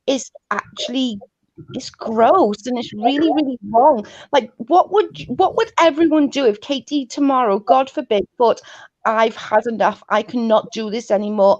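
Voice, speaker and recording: A woman's voice: fairly high pitch, moderate pace, darkish tone, no echo, highs cut off. A woman who stutters, talking earnestly and pleadingly over a video call. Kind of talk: heated casual chat.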